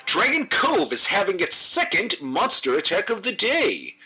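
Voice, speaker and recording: A man's voice: sits high, wildly swooping pitch, measured pace, sharp tone, breathy edge, heavily acted. A man playing a melodramatic local TV news anchor in a broadcast parody. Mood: newsy, dramatic.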